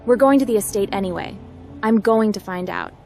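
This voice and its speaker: A woman's voice: medium pitch, brisk, vocal fry, a little mellow, soft background music, lightly acted. A woman voicing a confident, wry female hacker adventurer in scripted video game roleplay, with a cool, direct delivery. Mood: pressing.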